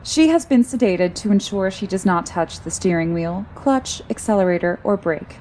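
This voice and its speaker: A woman playing a calm, controlling car computer in an audio drama, formal and clinical, coldly protective and always politely commanding. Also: mid-range voice, moderate pace, slight background noise, close to an everyday voice. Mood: coldly insistent.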